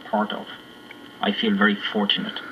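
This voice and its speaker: A man's voice: lowish voice, monotone, moderate pace, a crowd in the background. A man giving a reflective personal account, heard through a radio. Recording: dull, faint radio playback with noise.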